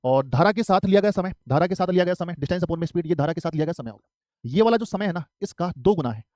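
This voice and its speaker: A man's voice: high voice, lively intonation, fast, darkish tone, no echo, studio quality. A man from Haryana teaching an online math class for exam prep, often asking questions and then answering them himself. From Haryana, India. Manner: repetitive, asks and answers his own questions.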